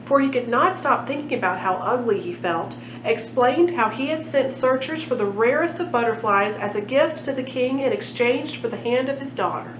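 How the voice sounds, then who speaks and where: A woman's voice: fairly high pitch, moderate pace, hardly any pauses. A woman reading a children's storybook aloud as a library storytime narrator. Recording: echoey room, muffled, clear background noise.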